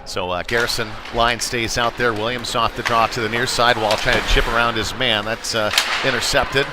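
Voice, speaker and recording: A man giving live play-by-play commentary of a youth hockey game, following the action and lifting his voice at big plays. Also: moderate pace. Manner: running description with excited shouts at big plays. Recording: noisy arena with rumble and thuds.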